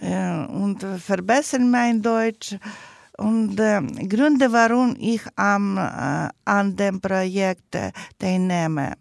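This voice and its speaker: A woman speaks in a very slow, careful way, stopping often to find the next word. Her voice sits at an ordinary middle pitch and sounds close and dry, with no room around it. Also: vocal fry, no room sound. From Ukraine. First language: not German. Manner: hesitant, searching for words, many pauses. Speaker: guest, project participant. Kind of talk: interview, halting learner's German.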